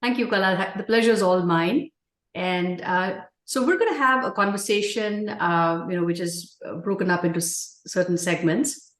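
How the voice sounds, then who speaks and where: Woman podcast host; lowish pitch, gentle delivery with fillers, roomy echo. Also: moderate pace. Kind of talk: podcast interview hosting.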